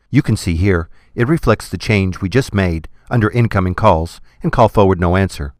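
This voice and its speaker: Deep-voiced man narrating a tutorial. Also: a little mellow, no room sound, clean studio sound. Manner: methodical, instructional. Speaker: software tutorial presenter. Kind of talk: scripted step-by-step tutorial narration.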